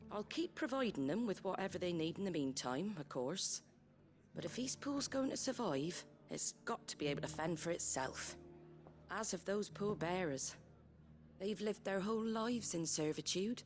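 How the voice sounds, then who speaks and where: A woman's voice: lowish voice, a little hiss or hum, close to an everyday voice. An actress playing a blunt, practical, caring innkeeper woman in a fantasy video game, with a regional working-class English accent and a folksy, wry way of talking. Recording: music behind the voice.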